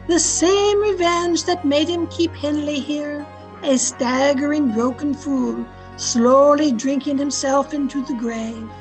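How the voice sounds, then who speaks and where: An actress playing an old maidservant in a gothic radio drama, speaking in an earnest, dramatic way. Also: female voice, sits high, rough recording. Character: old housemaid telling a grim gothic tale. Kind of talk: old-time radio storytelling.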